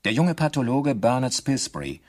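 An actor as the narrator of a historical crime audio drama, formal, sober and factual. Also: male voice, medium pitch, very animated, moderate pace, darkish tone. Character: sober male narrator of a historical murder trial. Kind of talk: scripted documentary-drama narration.

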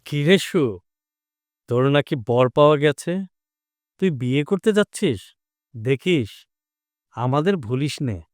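A man's voice: on the high side, animated, slow pace, pauses often, dry recording. A man playing a carefree young village lad who fishes, teasing and familiar, in an audio drama. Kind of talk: Bengali audio drama acting.

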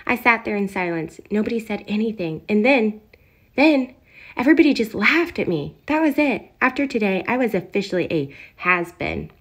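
A woman voicing an awkward, self-doubting young bean who tells his own storybook tale with earnest drama and pauses. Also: mid-range voice, lively intonation, breathy edge. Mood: embarrassed.

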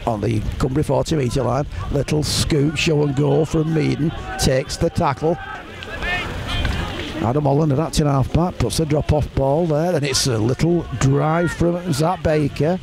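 A man's voice: a little high, fairly even intonation, unhurried, creaky. A man calling an amateur rugby league match outdoors in the rain, chatty, his voice rising on big plays. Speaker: play-by-play commentator. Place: outdoors at a rainy rugby ground. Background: spectators and rain.

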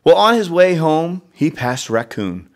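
A man's voice: a little high, sing-song, measured pace. A man reading a children's storybook expressively, with conversational asides to young listeners. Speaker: read-aloud storyteller.

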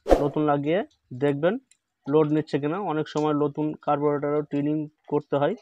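A man hosting a hands-on repair tutorial, explaining each step slowly and repeating instructions, recorded on a phone indoors. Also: a little high, fairly bright tone, a little hiss or hum. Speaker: repair channel host. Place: indoor workbench.

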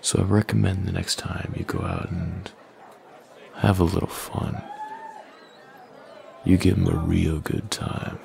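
Man playing a slow, gravelly, menacing young bully. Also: background noise, heavily acted. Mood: coldly threatening. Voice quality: low, gravelly, often half-whispered. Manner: slow, taunting, domineering. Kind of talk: boyfriend ASMR roleplay, close and breathy.

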